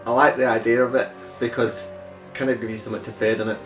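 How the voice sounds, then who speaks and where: Male co-host, medium pitch, loose rambling chat, distant mic, faint music. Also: level tone, quick pace, reverberant. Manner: rambling, self-correcting, informal. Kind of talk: casual chat.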